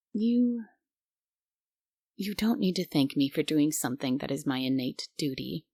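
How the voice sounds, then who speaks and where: Casting: woman to play a prim, bossy female guardian angel for roleplay audio; low, unhurried delivery, secretly kind under the strictness. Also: a little mellow, husky, no echo, studio quality, heavily acted. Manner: bossy, prim, grudgingly caring. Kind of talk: immersive roleplay audio drama, ASMR.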